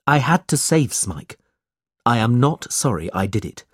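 A man's voice: mid-range voice, sing-song, unhurried, slightly rough, no room sound, studio quality. A man voicing a brave, protective young man in a storybook, speaking earnestly and with defiance. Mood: indignant.

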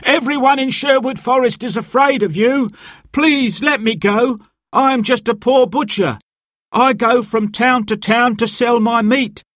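A man voicing a terrified poor butcher who pleads in a high, strained voice. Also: measured pace, a bit bright, no echo, studio quality. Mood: pleading.

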